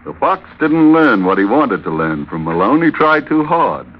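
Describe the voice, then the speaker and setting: An actor playing a breezy, sly, scheming sailor in old-time radio drama, cocky, insinuating and easygoing. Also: male voice, medium pitch, very animated, moderate pace. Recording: dull vintage radio recording.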